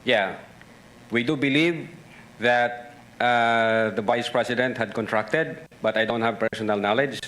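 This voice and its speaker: A man with a Filipino accent giving formal testimony as an investigator, halting, deferential and careful to avoid direct answers. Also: medium pitch, a bit bright. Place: hearing room. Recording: noisy hearing-room ambience.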